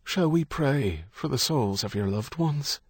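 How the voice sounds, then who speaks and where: A man's voice: mid-range voice, expressive, a little slow, husky, no room sound, voice pushed up. A man narrating a story puts on a priest with a folksy, consoling way of talking. He is blunt and probing, with a faint note of accusation. The sound is dry and close. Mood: grave, consoling. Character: Irish priest, solicitous yet prying and blunt.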